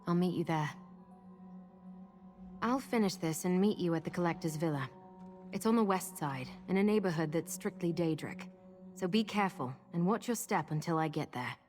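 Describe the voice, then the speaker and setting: A fairly low female voice of an actress playing a guarded, troubled recluse in a fantasy video game. She speaks in a brooding, confiding way, weary and haunted by lost memory. Music plays clearly behind the dialogue. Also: a little mellow, close to an everyday voice.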